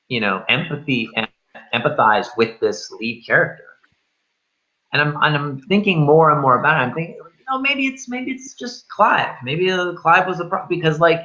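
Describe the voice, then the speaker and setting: A man's voice: fairly high pitch, sharp tone, muffled recording. A man in a casual film discussion, rambling and thinking aloud as he reviews. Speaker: host of a film review show.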